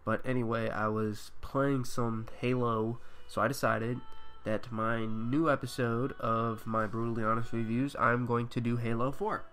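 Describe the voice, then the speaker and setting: Home vlogger, male, stuffy head cold, mid-pitched, reads his scripted review to camera. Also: flat delivery, a little slow, fairly bright tone, slight background noise. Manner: reads a prepared review with filler ums. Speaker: video game reviewer.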